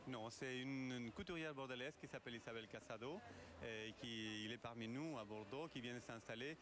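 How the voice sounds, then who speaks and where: A man from Argentina with a mixed Italian and Spanish accent, answering an interview about his work in halting phrases. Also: mid-range voice, a crowd in the background. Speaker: leather goods designer being interviewed. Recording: noisy on-location event sound.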